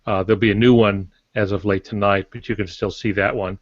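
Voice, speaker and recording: An older man speaks in a fairly low voice as a podcast co-host. His manner is casual and unhurried. The recording is dry, with a dull, lo-fi edge. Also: fairly even intonation, no room sound. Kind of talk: casual chat. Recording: dull lo-fi audio.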